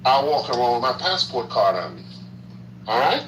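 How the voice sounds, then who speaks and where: Bright-voiced man in an echoey room. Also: mid-range voice, big pitch swings, normal speed, a little hiss or hum. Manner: emphatic and repetitive, with slangy asides. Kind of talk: casual political chat. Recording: roomy echo.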